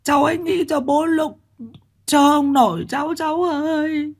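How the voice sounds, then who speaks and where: A male storyteller voicing a desperate, weeping old grandmother who pleads in a high, wailing voice. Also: steady tone, moderate pace. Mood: mournful.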